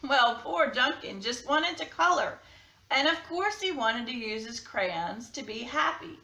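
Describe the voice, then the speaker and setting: Bright-voiced woman teaching children, roomy sound. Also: sits high, expressive, unhurried, recorded from a distance. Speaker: children's story reader and art teacher. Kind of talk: storybook narration and casual instruction for children.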